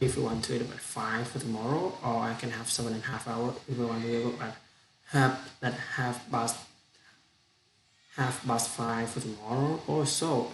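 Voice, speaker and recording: A young man with a Vietnamese accent, a non-native English speaker, gives an unscripted practice monologue with halting speech, frequent restarts and repeated words. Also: medium pitch, echoey room, a little hiss or hum, low-quality recording. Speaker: student practicing spoken English.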